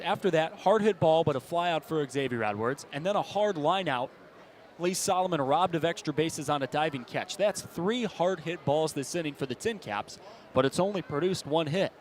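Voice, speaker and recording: Higher-voiced American man calling baseball play-by-play. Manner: clipped present-tense narration, swelling into shouts on big plays. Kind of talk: live sports commentary. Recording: ballpark ambience behind the voice. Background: outdoor stadium background noise.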